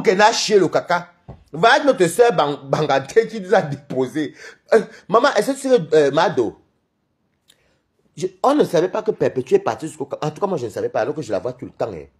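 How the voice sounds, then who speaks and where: A man from Cameroon with a Cameroonian French accent, chatting on a live stream in a rambling, gossipy way. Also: high-pitched. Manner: rambling, animated, gossipy, laughs often. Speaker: livestream host. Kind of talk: live-stream chat with viewers.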